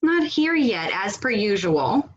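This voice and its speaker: A woman acting a blunt, sardonic protest organizer in a stage comedy over a video call, with a brisk, dry, bossy delivery. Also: medium pitch, big pitch swings, muffled recording. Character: blunt, sardonic female leader of a protest cell.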